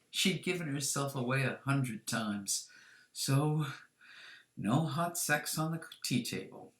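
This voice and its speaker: Echoey mid-pitched storyteller reading fiction. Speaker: author reading their own short story. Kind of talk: scripted narration, audiobook-style fiction reading. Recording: roomy, echoing sound.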